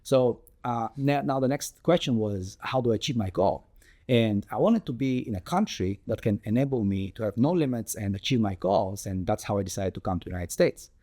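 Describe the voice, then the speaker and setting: Earnest man, mellow voice. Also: lowish voice, expressive. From Bulgaria. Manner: earnest, measured, with frequent ums and restarts. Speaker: tech founder and guest. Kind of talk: telling his life story in an interview.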